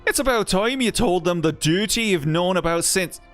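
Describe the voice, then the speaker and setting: A man's voice: sits high, lively intonation, normal speed, fairly bright tone, faint music behind, voice pushed up. A man doing a brash mage with a broad Irish accent. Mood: bossy. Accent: exaggerated put-on Irish. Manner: broad, cartoonish accent, prodding and bossy.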